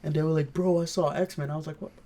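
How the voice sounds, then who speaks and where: A man's voice: fairly high pitch, fairly even intonation, fast talker, warm tone. A man co-hosting a review podcast, chatting and telling anecdotes in informal slang. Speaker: podcast co-host reviewing films. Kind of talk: casual chat and storytelling.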